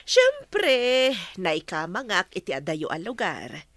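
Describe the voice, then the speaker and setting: A woman's voice: mid-range voice, wildly swooping pitch, slow, fairly bright tone, no room sound, heavily acted. A well-off, breezy woman back among old friends in an Ilocano radio comedy. Her Ilocano-accented speech is leisurely and swings widely up and down, recorded dry and close. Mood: friendly, breezy. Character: generous, well-off woman, returning high school best friend.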